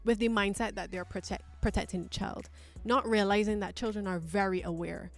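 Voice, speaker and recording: A woman's voice: medium pitch, steady tone, brisk, vocal fry, soft background music. A woman from Barbados, talking candidly and thoughtfully as a guest in a personal interview. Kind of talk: reflective personal conversation.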